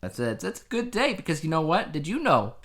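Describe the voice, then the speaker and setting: A man's voice: fairly high pitch, very animated, a little fast, fairly bright tone. A man co-hosting a casual podcast, explaining things in a conversational way. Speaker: podcast co-host. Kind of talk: casual two-person podcast chat.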